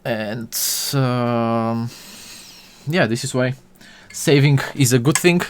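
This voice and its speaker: A man's voice: mid-range voice, slow. A young man from Bulgaria chatting with his live-stream viewers while he models, full of fillers and restarts.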